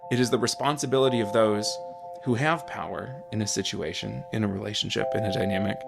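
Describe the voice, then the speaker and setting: A man speaking as a counselor, explaining in a measured, careful way. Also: fairly low pitch, fairly even intonation, creaky, music in the background. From Michigan. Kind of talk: interview commentary.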